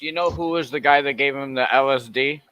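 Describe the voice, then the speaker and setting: Bright teasing man in his forties. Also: medium pitch, fast talker, smooth, dry recording, dull audio. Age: 40s. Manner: playful teasing, loud swearing bursts. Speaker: guest on a livestream panel. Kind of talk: casual group chat on a livestream.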